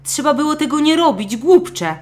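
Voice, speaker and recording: A greedy, bossy fisherman's wife from a fairy tale, put on by a woman storyteller. She scolds with a quick temper, her voice pushed up high and demanding. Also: very animated. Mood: angry.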